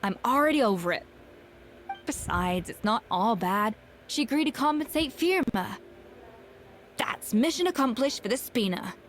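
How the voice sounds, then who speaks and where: A woman's voice: on the high side, animated, normal speed, long pauses, slight background noise, lightly acted. An actress playing a proud, blunt, self-assured young female gourmet chef in a video game, dry and theatrical. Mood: feigned indifference. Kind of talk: video game character acting.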